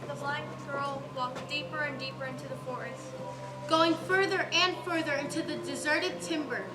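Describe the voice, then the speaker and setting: A woman's voice: sits high, fairly even intonation, moderate pace, very noisy, close to an everyday voice. A young woman playing a solemn storyteller, narrating a tragic tale in a school stage play. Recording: echoey stage, loud music behind.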